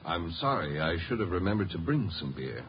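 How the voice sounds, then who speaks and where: Low, mock-polite radio villain. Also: male voice, very animated, brisk. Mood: falsely polite. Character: smooth, mock-polite male criminal, calmly menacing. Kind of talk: 1950s American radio drama acting. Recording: muffled, noisy vintage radio recording.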